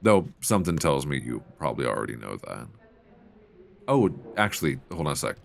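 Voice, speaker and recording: A man playing a mild-mannered, flirtatious middle-aged librarian who can turn quietly commanding, in an intimate, conversational ASMR roleplay on a close mic. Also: extremely low pitch, big pitch swings, a little fast, creaky, slight background noise, full character voice. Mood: helpful, casual. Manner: conversational, hesitant, teasing. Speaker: audio roleplay performer. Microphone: close binaural microphone.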